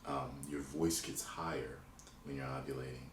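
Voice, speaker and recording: Casting note: male host, very low voice, casual and explanatory, recorded far off in an echoey room. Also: fairly even intonation, normal speed. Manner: loose, explaining, swears casually. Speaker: channel host. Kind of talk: casual chat. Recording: roomy and distant with faint noise.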